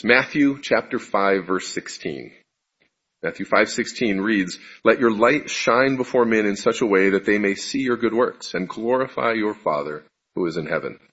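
Male preacher, deep and husky voice, conversational sermon, muffled lo-fi recording. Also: a bit bright. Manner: conversational, self-deprecating, asks the audience questions. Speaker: preacher giving a congregation talk.

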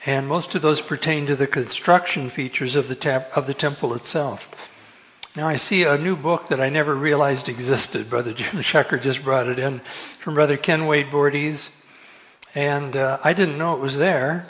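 A soft-spoken man giving a relaxed Bible study talk over a microphone in a meeting hall, correcting himself and chuckling now and then. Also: on the high side, normal speed, husky. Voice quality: soft-voiced. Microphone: amplified microphone.

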